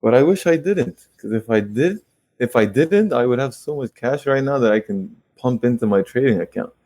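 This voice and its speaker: A man in his late twenties, a guest investor telling stories in a casual interview with quick rueful asides. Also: medium pitch, expressive, a little mellow, no room sound. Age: 20s. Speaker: guest real estate investor.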